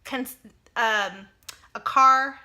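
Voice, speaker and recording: A woman's voice: on the high side, extremely slow, bright, forceful tone. A woman in her forties, a Spanish speaker from childhood, chatting casually and a bit rambly in a personal vlog. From United States. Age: 40s. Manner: chatty, rambling, self-deprecating with frequent fillers. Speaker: vlogger.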